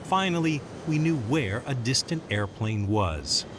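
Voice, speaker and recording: A man's voice: medium pitch, lively intonation, a little slow, noisy background. A man reading scripted documentary narration as the narrator.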